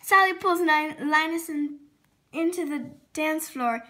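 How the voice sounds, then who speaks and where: A woman's voice: sits high, a little slow, pushed and bright. A female reading a children's storybook aloud expressively, sometimes stumbling over words and correcting herself. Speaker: storybook reader.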